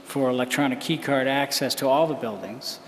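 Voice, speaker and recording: A man, a school official, answering a public comment at a meeting in a measured, hedging way with many fillers. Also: medium pitch. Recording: roomy hall with faint noise.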